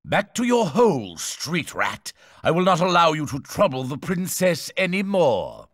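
An actor playing a scheming, gloating sorcerer vizier in a video game, theatrical, haughty and menacing. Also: male voice, on the high side, huge pitch swings, measured pace, a bit bright, big-room sound, heavily acted. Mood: smug menace. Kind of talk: animated video game acting.

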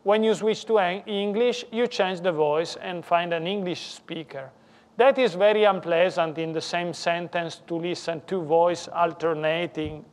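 A man with a strong Italian accent gives a technical lecture in English. He talks in a fairly high voice, stops often, and corrects his words as he goes. He is speaking in a lecture room. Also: steady tone. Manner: halting, self-correcting non-native English. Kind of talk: technical conference talk.

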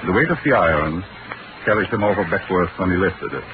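An actor playing a calm, authoritative male doctor explaining a crime in 1940s radio drama, measured and formal. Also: low-pitched. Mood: composed. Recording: dull old radio broadcast with hiss.

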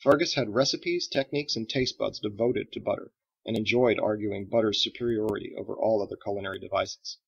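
A man narrates a story like an audiobook, in a low voice with a gravelly creak. The delivery is scripted and expressive, and the recording is slightly dull. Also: fairly quick. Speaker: author reading his own book.

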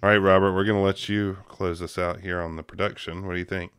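Low smooth-voiced man hosting a podcast. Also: little pitch movement, quick pace, fairly bright tone, no room sound, clean studio sound. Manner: steers the talk, calls on each panelist. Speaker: podcast host. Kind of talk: casual film review chat.

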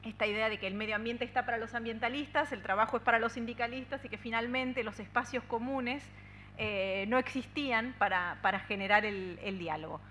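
A woman's voice: a little high, a little fast, pushed and bright, a little hiss or hum. A woman in her thirties from Argentina with an Argentine Spanish accent, giving a conference talk at a table microphone, earnest and fluent with many "eh" fillers. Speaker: union policy adviser speaking on a panel. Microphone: desk microphone on a stand.

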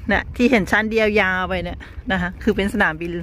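A woman's voice: medium pitch, fast, a bit bright. A woman from Thailand, around middle age, chatting casually and politely to viewers as a travel vlogger on a handheld phone. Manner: chatty, addresses viewers with frequent polite particles. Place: airport car park and terminal. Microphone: handheld phone at arm's length. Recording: clearly noisy travel recording with vehicle ambience.

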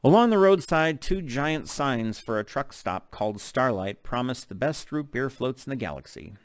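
A man's voice: medium pitch, lively intonation, moderate pace, no room sound, clean studio sound. A middle-aged man reading a novel aloud in an audiobook narration style. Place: open living room at home. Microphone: desk microphone close in front.

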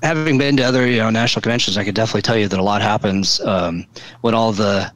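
A middle-aged American man with a fairly low voice talks as a guest on a podcast. He rambles along casually and folksily, often dropping in "you know". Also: fast, a bit bright, no room sound. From Wyoming, US. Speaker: podcast guest, convention organizer. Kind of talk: casual chat.

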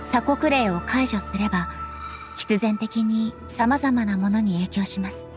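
Woman acting solemn, dignified goddess ruler, measured and formal. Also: a little high, soft background music, natural voice. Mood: solemn, reflective. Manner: formal, measured, polite speech. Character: solemn, dignified immortal female ruler, composed and earnest. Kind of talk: scripted anime game dialogue.

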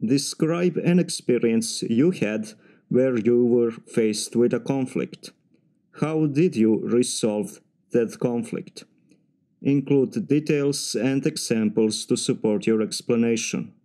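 A man with a Serbian accent giving formal, measured practice answers for a timed speaking exam. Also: mid-range voice, warm tone. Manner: formal, measured, slightly stiff phrasing. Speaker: mechanical engineer practicing test answers.

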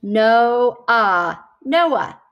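A woman's voice: a little high, expressive, very slow, dull audio, heavily acted. A woman voicing an eager young boy who patiently teaches his little sister, sounding words out carefully and encouragingly.

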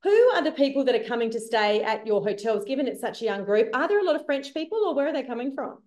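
A woman's voice: on the high side, animated, darkish tone. Enthusiastic woman host on a video call. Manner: enthusiastic, quick affirmations. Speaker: interview host. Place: indoors, room with plant and painting. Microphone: video call webcam.

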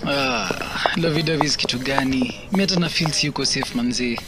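A man's voice: mid-range voice, a little fast, gravelly, heavy background noise, close to an everyday voice. A man with a Kenyan accent playing a harassed young media intern in a radio drama. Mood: troubled.

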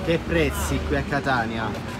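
A man's voice: medium pitch, unhurried. A man giving an enthusiastic walking tour of an outdoor street market, filmed on a handheld phone. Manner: enthusiastic, exclaims often. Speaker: travel vlogger. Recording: loud crowd and street noise.

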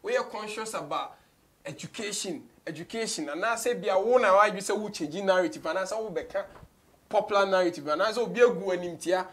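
A male radio host with a Ghanaian accent conducts a relaxed, conversational interview. His voice is pitched clearly higher than most men's. He switches between English and Twi. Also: fairly quick. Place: small radio studio office.

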